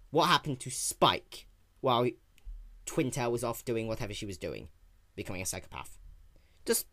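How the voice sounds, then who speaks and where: A man giving a dramatic reading of a fan story, expressive, with occasional slips and restarts. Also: mid-range voice, no room sound. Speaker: reader.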